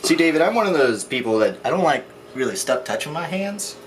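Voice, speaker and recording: A man's voice: on the high side, sing-song, a little fast. Joking young guy, noisy kitchen. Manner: jokey, rambling, addresses viewers as kids at home. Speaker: cooking video co-host. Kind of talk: casual joking chat while cooking.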